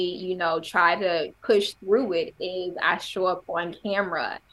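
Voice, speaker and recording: Candid woman guest, mid-pitched voice. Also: poor audio. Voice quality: has a stutter. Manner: candid storytelling, laughs often, animated. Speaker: podcast guest. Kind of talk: casual conversational interview.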